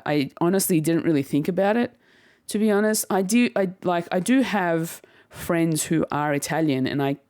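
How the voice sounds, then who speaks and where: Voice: mid-range voice, a little mellow, dry recording. A young adult podcast host talking in a casual solo monologue, reflective and rambling, with frequent laughs and self-corrections, close to the microphone. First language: Turkish heritage household, speaks English at home.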